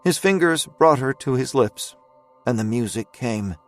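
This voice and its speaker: A man's voice: medium pitch, expressive, measured pace. A man narrating scripted fiction as a storyteller in an audio drama. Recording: faint music under narration.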